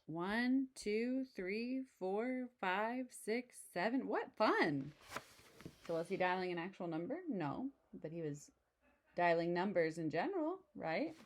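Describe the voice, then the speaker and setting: A woman reading a children's picture book aloud in an expressive way, with casual asides. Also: mid-range voice, takes it slowly, slight background noise. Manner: expressive, exclaiming story delivery. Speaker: storybook reader.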